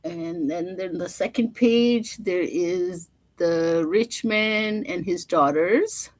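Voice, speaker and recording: A woman's voice: lowish voice, slow. A woman storyteller reading a children's picture book aloud, with informal, chatty asides to her listeners.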